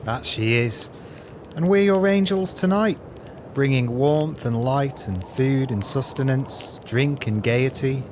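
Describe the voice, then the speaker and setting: An actor playing a gleeful, crooked swindler with a sly, florid, falsely friendly manner in an audio play. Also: male voice, mid-range voice, slow pace, a little mellow, slight background noise, natural voice. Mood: effusive false welcome.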